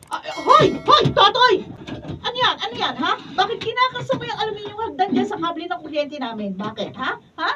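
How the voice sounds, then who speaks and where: A woman's voice: very high-pitched, sing-song, a little fast, music in the background. A woman with a Filipino accent acting in a radio drama as a principled, stubborn housewife. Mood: scolding, alarmed. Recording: distant, muffled room recording.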